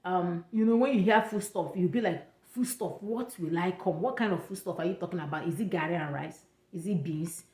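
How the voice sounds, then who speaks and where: A woman's voice: lowish voice, a little fast, hardly any pauses, warm tone, roomy sound. A young woman from Nigeria with an Igbo accent, giving chatty, repetitive travel advice in a casual vlog. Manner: chatty, repeats points, pidgin touches.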